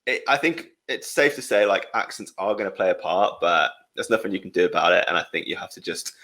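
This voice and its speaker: A young man from the English Midlands talking in a relaxed podcast chat. His voice sits low with a gravelly, creaky edge, and he reads viewer comments aloud, laughing easily between them. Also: fairly quick. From England, Midlands. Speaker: podcast host.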